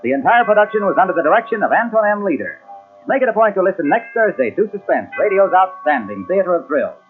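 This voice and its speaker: An old, narrowband radio recording carries an American man as announcer. His high voice moves with great animation through polished, emphatic sales copy. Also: sing-song, fast talker, faint music behind. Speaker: sponsor announcer. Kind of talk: vintage radio announcing and ad reading. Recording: muffled narrowband 1940s-50s radio, cassette transfer.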